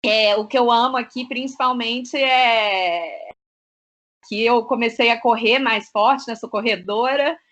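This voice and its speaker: A woman guest, a business consultant, talking freely in an interview, often filling pauses with short tags. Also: on the high side, fairly bright tone, muffled recording. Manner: talkative, fills with "né" and "é". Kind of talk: casual interview chat.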